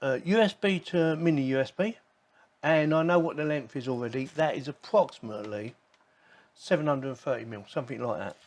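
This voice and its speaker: Male reviewer, medium pitch, casual and rambling, talking through an unboxing as he goes. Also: a little fast. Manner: informal, rambling, thinking aloud. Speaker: host of a tech review channel.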